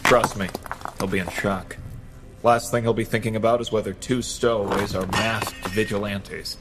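A man's voice: fairly low pitch, steady tone, normal speed, heavy background noise, natural voice. An actor playing a composed, confident masked male vigilante in an audio drama, speaking matter-of-factly. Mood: assured.

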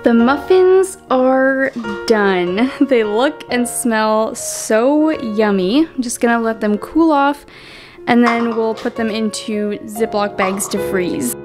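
A woman's voice: on the high side, takes it slowly. A young woman from Canada chatting casually in a cooking vlog, upbeat with little laughs and ums. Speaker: lifestyle vlogger. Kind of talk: casual kitchen voice-over. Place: home kitchen. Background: soft background music.

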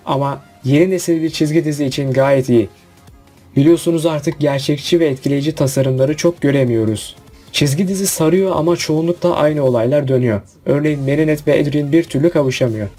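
Guy doing scripted countdown video narration, mellow slightly high voice, music behind. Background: background music.

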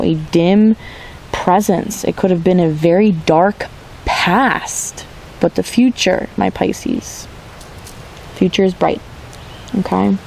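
Woman tarot reader, gravelly fry, warm dark tone, reassuring and unhurried, forest setting. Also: lowish voice, low-quality recording. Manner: reassuring, drawn-out, repeats "okay?". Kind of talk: tarot reading video. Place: outdoors in a forest.

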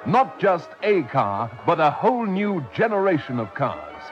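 A man's voice: on the high side, big pitch swings, measured pace. A man narrating a vintage radio car commercial as an announcer. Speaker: advert announcer. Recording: dull old radio recording. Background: music.

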